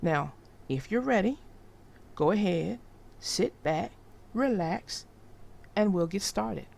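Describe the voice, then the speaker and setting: A woman hosting and narrating a read-aloud for young children, speaking deliberately and expressively to her listeners. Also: medium pitch, expressive, vocal fry, darkish tone. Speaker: children's story narrator and channel host. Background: music.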